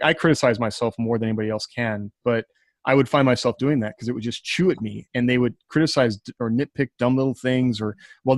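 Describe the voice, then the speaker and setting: A man's voice: mid-range voice, dry recording. A man talking casually on a writers' panel, giving advice in an earnest, encouraging way. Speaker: science fiction writer on a podcast panel.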